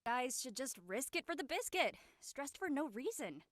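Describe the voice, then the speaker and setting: A woman acting a carefree, feisty high school girl in a dramatic read, breezy and blunt. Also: big pitch swings, normal speed, fairly bright tone, no echo, studio quality. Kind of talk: online dramatic table read.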